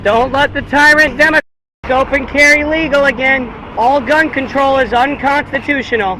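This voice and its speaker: A man's voice: extremely high pitch, steady tone, moderate pace. An American man protesting on the street, declaiming repeated slogans and chatting with passersby outdoors. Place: outdoors by a busy road. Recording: noisy outdoor street, traffic behind.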